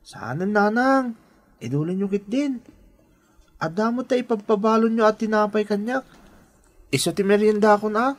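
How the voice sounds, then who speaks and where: A woman playing a gentle, dutiful schoolboy from a poor family in a radio drama, earnest and respectful. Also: mid-range voice, normal speed, long pauses, natural voice. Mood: caring, modest.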